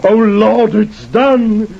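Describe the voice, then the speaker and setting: An actor playing a fervent zealot who cries out in drawn-out exclamations in a radio drama. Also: male voice, sits high, creaky, heavily acted. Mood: frantic fervor. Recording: dull lo-fi radio recording.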